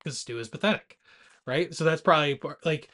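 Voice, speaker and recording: A man's voice: a little high, a little fast, bright, forceful tone. A man co-hosting a casual movie podcast, speaking in a blunt, teasing and informal way. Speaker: podcast co-host. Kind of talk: rowdy casual movie chat.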